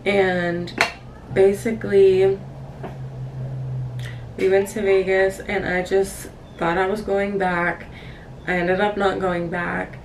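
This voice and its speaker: A woman in her twenties chatting casually to viewers as a vlogger, in a rambling, candid, apologetic way. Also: lowish voice. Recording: distant mic, clearly noisy room.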